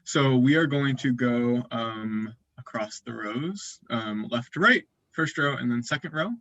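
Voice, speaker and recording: A man's voice: mid-range voice, measured pace, no room sound, highs cut off. Easygoing man hosting a video-call game. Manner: relaxed, filler-heavy presenting. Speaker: game host. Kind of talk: explaining rules on a video call.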